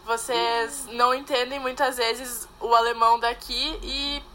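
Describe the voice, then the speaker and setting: Young woman interviewer, a little high-pitched. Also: flat delivery, unhurried, a bit bright. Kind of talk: interview questions. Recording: faint background ambience.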